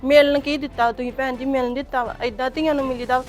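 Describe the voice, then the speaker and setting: A woman's voice: high-pitched, steady tone, fast, soft background music, close to an everyday voice. A woman playing a pleading, earnest mother. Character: pleading middle-aged mother visiting her married daughter. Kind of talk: homemade family drama skit.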